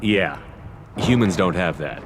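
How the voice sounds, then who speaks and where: A human military commander from a video game. He has a low voice and a calm, direct, matter-of-fact way of speaking, with faint noise in the background. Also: a little slow. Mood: calm, curious.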